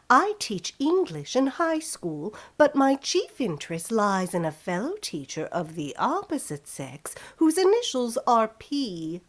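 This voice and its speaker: A woman acts a catty, scheming rival in an old radio comedy. She speaks slowly, with syrupy condescension and big melodic swoops. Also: a little high. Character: catty, scheming, falsely sweet female rival teacher. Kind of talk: vintage radio comedy acting.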